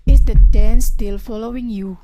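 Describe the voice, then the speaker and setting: A woman's voice: a little high, fairly even intonation, moderate pace, over music, lightly acted. A woman playing a concerned, uneasy young student in an amateur English radio drama.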